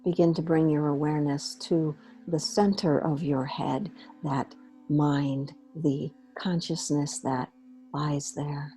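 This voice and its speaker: A woman leading a guided meditation in a gentle, unhurried way, pausing between short phrases. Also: low voice, steady tone, soft background music. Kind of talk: guided meditation, slow soothing instruction.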